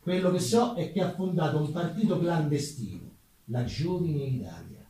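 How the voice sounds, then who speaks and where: Far off in a very echoey room, a male actor plays a talkative Roman street umbrella mender from the 1840s. His voice sits a little high, with a thick Roman accent. He is folksy and self-deprecating, tossing conversational asides in a stage monologue. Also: very animated, normal speed, warm tone, a little hiss or hum. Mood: gossipy. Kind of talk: theatrical monologue in dialect. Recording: very echoey room, distant microphone.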